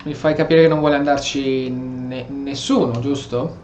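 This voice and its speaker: A man's voice: mid-range voice, fairly even intonation, moderate pace, a little mellow. A man doing casual game commentary, reading out and translating dialogue as he plays. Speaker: gameplay streamer. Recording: echoey, dull mic with faint noise.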